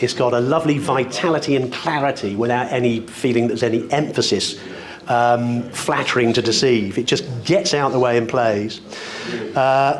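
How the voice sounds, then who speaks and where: A British man presenting products at a hi-fi show, talking off the cuff in an articulate, discursive, wryly enthusiastic way with florid wording. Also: mid-range voice. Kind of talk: unscripted product demonstration talk.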